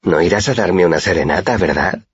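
A man voicing a wary, brisk young woman medic in audiobook dialogue, curt and practical, with muffled sound. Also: low voice, husky, dry recording.

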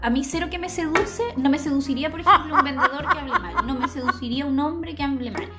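A woman's voice: high-pitched, fast, loud music behind the voice. A Chilean woman co-hosting a podcast, chatting casually and laughing as she teases. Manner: laughing, animated, teasing. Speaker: podcast co-host. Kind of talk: casual chat.

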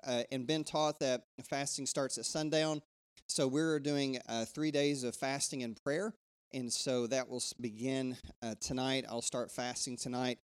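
A man, a pastor giving church announcements in a loose, conversational way, full of fillers and restarts. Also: clear, resonant tone. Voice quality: bassy. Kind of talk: church announcements before a sermon.

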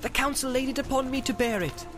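Small storybook hobbit voiced by a man: polite, plain-spoken, fearful, resolute, raised pitch, over clear music. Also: a little slow. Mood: alarmed, refusing.

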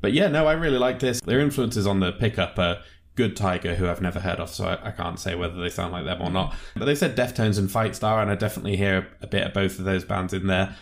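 Male co-host, deep voice, measured and casual, reviewing music. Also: gravelly. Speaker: podcast co-host.

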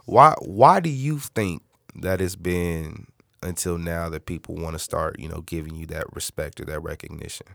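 A male interviewer with a very low voice asks laid-back, slangy questions and teases his guest. The recording is close and dry. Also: normal speed, vocal fry, fairly bright tone, dry recording. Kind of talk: casual interview chat.

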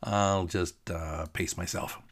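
A man's voice: sits low, steady tone, takes it slowly, fairly bright tone, heavily acted. A man playing a grouchy, restless man who grumbles with irritation, in a narrated story with music behind him. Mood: sullen. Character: grouchy, restless man nursing martinis on a boat.

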